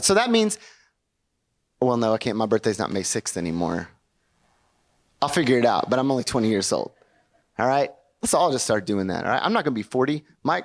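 A young male pastor preaching a sermon to his congregation in a church, in a conversational and animated way, often putting questions to the room. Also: fairly high pitch. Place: church sanctuary before a congregation.

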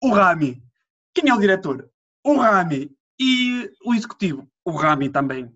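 A man's voice: high-pitched, normal speed. Joking young Portuguese man, lo-fi sound. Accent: European Portuguese. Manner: jokey, animated, does silly voices. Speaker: podcast co-host. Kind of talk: casual chat among friends. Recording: dull lo-fi sound.

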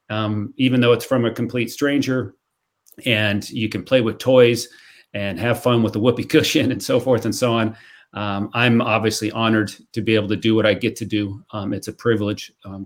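A man speaking as a charity guest on a podcast, earnest and grateful, with frequent fillers. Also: lowish voice. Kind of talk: casual podcast chat.

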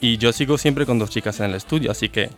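A man's voice: mid-range voice, rapid-fire, fairly bright tone, faint music behind. A man co-hosting a bilingual radio morning show in an easygoing, joking manner. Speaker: radio co-host. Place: radio studio.

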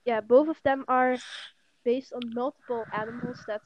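A teenage girl from the Netherlands with a Dutch accent, a guest on a casual podcast who talks in an unhurried way with many ums. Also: on the high side, steady tone. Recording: dull, muffled audio.

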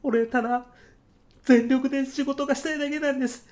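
A man's voice: very high-pitched, fairly even intonation, a little fast, a little mellow. Male actor as keen young salaryman courier, overzealous, earnest, casually polite, radio comedy sketch. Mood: tearful, frustrated. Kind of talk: comic radio drama acting.

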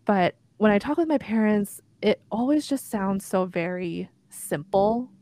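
A woman's voice: medium pitch, animated, measured pace, warm tone. A young woman born in Korea, co-hosting a podcast, talking in a reflective, thinking-aloud way with many fillers. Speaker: podcast co-host. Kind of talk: casual chat.

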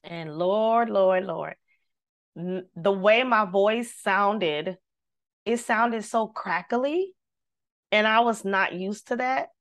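Woman singer, animated storyteller, middle-pitched voice, casual chat. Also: slow pace. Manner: animated storyteller, acts out quotes, devout. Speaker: guest. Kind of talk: personal story in casual conversation.

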